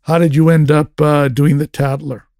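A male interviewer asks short, direct questions. His voice is mellow and a little high for a man. The sound is dry and close, with no room in it. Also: level tone, moderate pace, no room sound. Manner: brief, direct prompts. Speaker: interview host.

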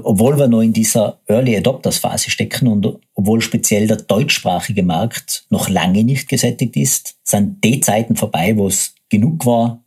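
A man's voice: fairly low pitch, a little mellow, breathy edge. A man with a Tyrolean German accent hosting a solo podcast, explaining things step by step in an informal way. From Tyrol, Austria. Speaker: podcast host, agency co-founder.

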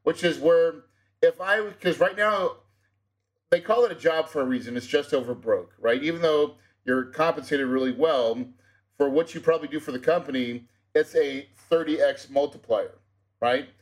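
High-voiced, pushy male podcast host. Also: moderate pace, low-quality recording. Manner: energetic and persuasive, tags with "right?". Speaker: podcast host and real estate coach. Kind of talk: casual podcast interview.

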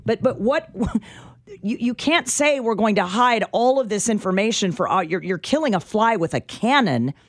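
A woman's voice: mid-range voice, expressive, moderate pace, dry recording. An American woman co-hosting a radio talk show, speaking in an emphatic, argumentative way into a close studio mic. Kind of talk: opinionated talk radio discussion. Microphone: close studio microphone.

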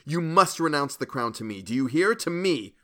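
A male narrator plays a deluded would-be king from a gothic audiobook. The voice is forceful and bright, imperious and melodramatic, with grandiose, paranoid rage. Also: mid-range voice, expressive, normal speed. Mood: triumphant, demanding. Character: madman claiming a crown, grandiose, raging, paranoid. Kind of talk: dramatic gothic audiobook acting.

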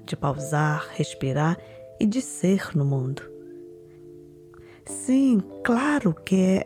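A woman narrating a documentary in a calm, measured, slightly whispery way and putting questions to her interviewees. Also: fairly low pitch. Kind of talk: reflective scripted narration.

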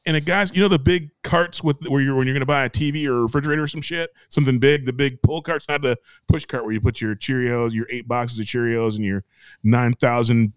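A man co-hosting a podcast, airing grievances in a profane, exasperated rant that builds into shouting. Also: medium pitch, no echo.

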